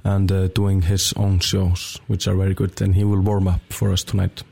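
A man's voice: low-pitched, completely flat delivery, normal speed, vocal fry, mellow. Hesitant young man. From Portugal. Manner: hesitant, many fillers and restarts. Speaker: band member guest. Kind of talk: casual radio interview.

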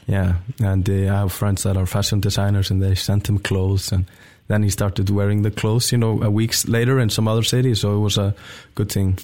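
A young man, speaking in a somewhat low voice. He answers loosely and hesitantly, filling pauses with "uh" and "like". He sounds like a musician chatting on a radio interview. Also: little pitch movement, a little mellow. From Portugal. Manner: hesitant, many fillers and restarts. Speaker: band member guest.

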